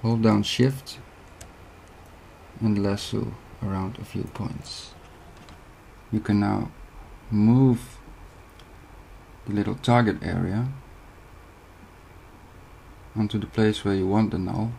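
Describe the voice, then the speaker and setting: A young man from the Netherlands with a Dutch accent, walking through a software tutorial step by step with short pauses. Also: on the low side, steady tone, creaky, slight background noise, rough recording. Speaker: video editing tutor. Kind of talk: screen-recorded software tutorial.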